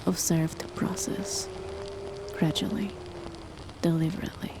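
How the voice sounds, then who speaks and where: Woman as a cold, condescending chemist spouse for an ASMR horror roleplay; slow, low, fry-edged whispers over steady rain. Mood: measured. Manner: soft, measured, condescending, often whispered. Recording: noisy with rain behind.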